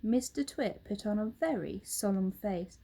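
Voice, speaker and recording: A woman narrating a children's story aloud in an expressive storytelling style. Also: mid-range voice, measured pace. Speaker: storybook reader.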